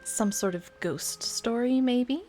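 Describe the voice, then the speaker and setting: A woman's voice: on the high side, takes it slowly, darkish tone. A woman giving casual commentary in short asides as she plays a video game. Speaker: gaming video host. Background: game music.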